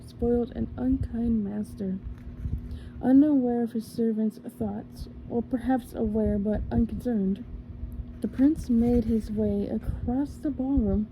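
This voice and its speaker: A woman reading a storybook in a gentle ASMR style, with slow, deliberate phrasing. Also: fairly high pitch, rough recording. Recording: faint background noise.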